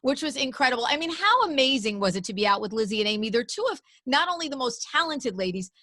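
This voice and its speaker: A woman's voice: sits high, lively intonation, quick pace, sharp tone, no room sound, studio quality. A woman radio host, enthusiastically asking effusive interview questions.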